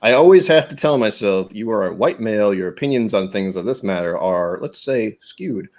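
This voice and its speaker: A man's voice: fairly low pitch, lively intonation, moderate pace, a little mellow. A man hosting a whiskey tasting livestream, chatting casually in a jokey, rambling, self-deprecating way. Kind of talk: casual chat.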